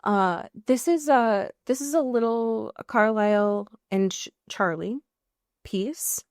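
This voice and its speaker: An American woman co-hosting a podcast in casual, comedic chat, riffing with mock exasperation and drawn-out emphasis. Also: a little high, darkish tone, clean tone, no echo, clean studio sound. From US Pacific Northwest. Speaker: podcast co-host.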